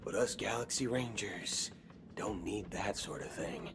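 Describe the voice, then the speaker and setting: An actor playing a swaggering, wry cowboy gunslinger in a video game, talking in folksy slang. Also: male voice, fairly low pitch. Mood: drawling. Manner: folksy slang, slow drawling delivery. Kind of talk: video game cutscene acting. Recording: game audio with background music.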